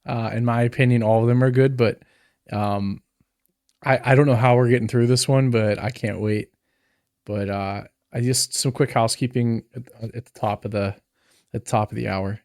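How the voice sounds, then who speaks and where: A man in a mid pitch hosts a relaxed podcast, wandering through announcements with lots of "um"s and "uh"s. His voice sits close and dry on the mic, with no room sound at all. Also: moderate pace, no room sound. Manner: rambling with many fillers. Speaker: podcast co-host. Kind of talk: casual chat.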